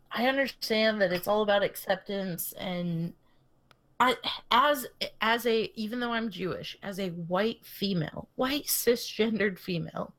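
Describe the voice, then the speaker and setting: A young woman chats on a podcast in a middle-pitched voice, rambling through a story and circling back with "like" and half-started sentences. She laughs easily as she talks. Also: a little slow, fairly bright tone. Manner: rambling storytelling full of "like" and restarts, laughs often. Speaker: podcast co-host. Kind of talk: casual chat.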